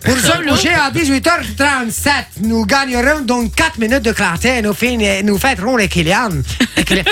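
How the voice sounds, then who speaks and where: Un homme qui imite un présentateur météo québécois qui jure et improvise des blagues, avec un accent québécois forcé. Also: male voice, very high-pitched, moderate pace, pushed and bright, faint music behind, full character voice. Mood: joking. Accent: put-on Québécois. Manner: loose, jokey, ad-libbing asides. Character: Québécois male weatherman, brash and swearing.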